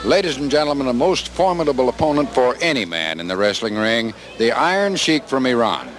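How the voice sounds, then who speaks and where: A man's voice: expressive, a bit bright. A man working as ring announcer, formally presenting wrestlers. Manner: booming, drawn-out announcing. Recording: crowd and noise behind.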